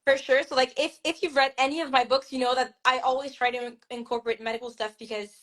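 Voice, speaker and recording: A woman's voice: sits high, fairly even intonation, fast, fairly bright tone. Chatty woman with a Québécois French accent. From Montreal, Canada. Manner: chatty, many fillers and restarts. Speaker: author guest. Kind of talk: casual video-call interview. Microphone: video call.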